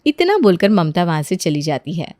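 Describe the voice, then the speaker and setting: A woman narrating a scripted moral story as an expressive storyteller. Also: on the low side, normal speed, dry recording, studio quality.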